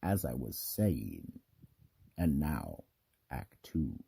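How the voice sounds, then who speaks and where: A man narrating a scripted radio mystery drama in a theatrical, deliberate storytelling manner. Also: very deep voice, big pitch swings, creaky, mellow, breathy edge, no room sound, clean studio sound. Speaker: narrator and host.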